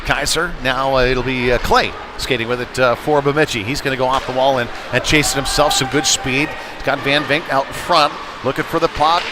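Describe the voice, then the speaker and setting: Man, medium pitch, live hockey play-by-play, fragmented phrasing, music playing in the background. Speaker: play-by-play commentator for youth hockey. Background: arena music over public address.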